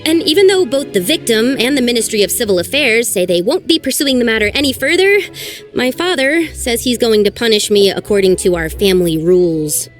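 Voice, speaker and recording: An earnest, anxious young archaeologist, played by a voice actress speaks in a fairly high, expressive voice. She sighs over her troubles, and soft music plays beneath her. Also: fairly quick, natural voice. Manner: earnest and sighing. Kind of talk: game voice acting.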